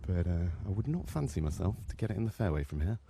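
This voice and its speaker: Hushed, gravelly low-voiced man reporting golf. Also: big pitch swings, fast talker, noisy background. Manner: hushed, talking quietly on the course. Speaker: on-course reporter. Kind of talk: live walk-along golf commentary. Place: outdoors on a golf course.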